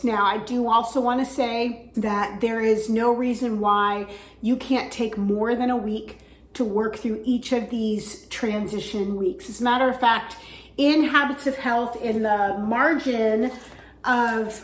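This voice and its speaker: A woman's voice: fairly high pitch, slow pace. A woman vlogging about her weight-loss journey, chatty with frequent fillers, her voice weak from recovering from the flu. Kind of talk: casual instructional vlog. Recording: echoey room.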